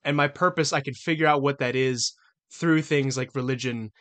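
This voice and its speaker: A man in a casual podcast debate who rambles through his point, with filler words stacked up between the ideas. He argues emphatically and swears freely. His voice sits at an ordinary pitch for a man. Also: steady tone, normal speed, a bit bright. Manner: argumentative, emphatic. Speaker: podcast co-host.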